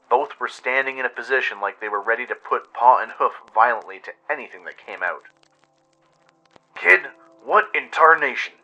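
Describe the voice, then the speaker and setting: Male radio-play narrator, first-person storytelling, very sharp forceful tone over a music bed. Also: lowish voice, lo-fi sound.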